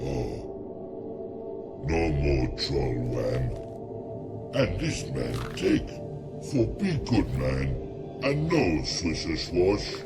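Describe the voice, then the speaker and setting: A man voicing a huge, dim, childlike troll in a video game, gravelly and lumbering, speaking in broken grammar. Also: rumbling low, very animated, very slow, pauses often, over loud music, heavily acted. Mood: subdued. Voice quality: gravelly, creaky. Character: huge dim-witted male rock troll, childlike and blunt. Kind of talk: video game creature acting.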